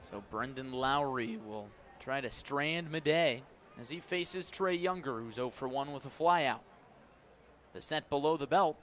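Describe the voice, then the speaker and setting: A man with a mid-pitched voice calls a baseball game as the radio play-by-play announcer. He moves between clipped pitch calls and conversational asides. Some outdoor stadium noise sits behind him. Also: fairly bright tone. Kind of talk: live baseball radio commentary. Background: outdoor ballpark ambience.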